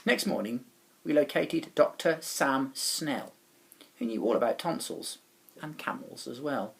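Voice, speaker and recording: A man who is a teacher reads a children's story aloud in an expressive, dramatic way with pauses and emphasis. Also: mid-range voice, vocal fry.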